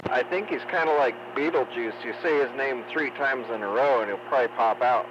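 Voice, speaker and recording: A man's voice: medium pitch, a little fast. A man bantering casually as a caller over CB radio. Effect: CB radio transmission. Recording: dull noisy CB radio transmission.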